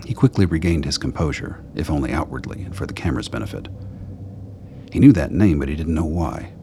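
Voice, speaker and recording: Male narrator for radio drama, extremely deep voice, scripted storytelling, faintly noisy track. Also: animated, a little fast.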